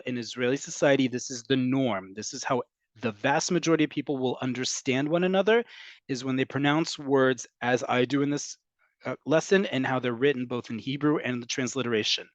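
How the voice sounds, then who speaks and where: A man's voice: mid-range voice, fairly quick, no room sound. A man from North America teaching an online class over a video call, explaining at length in a conversational way with frequent ums. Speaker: Hebrew teacher.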